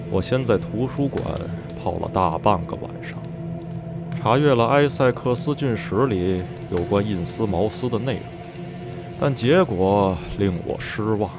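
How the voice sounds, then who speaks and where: An actor playing a reflective man who recounts a journey from his youth in measured, literary radio drama narration. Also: lowish voice. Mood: recollecting. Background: music.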